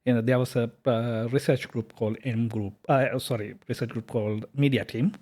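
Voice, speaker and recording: A man, a software company founder talking casually in an interview, hesitant with many fillers and restarts. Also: mid-range voice, steady tone, fairly quick. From Sri Lanka. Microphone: podcast microphone.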